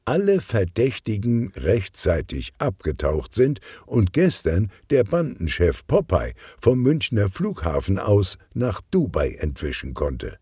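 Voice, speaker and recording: A man voicing a sporty, friendly police officer in a children's audiobook, with an animated, earnest manner. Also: fairly low pitch, measured pace, few pauses, slightly rough, dry recording, clean studio sound, full character voice. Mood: earnest, concerned.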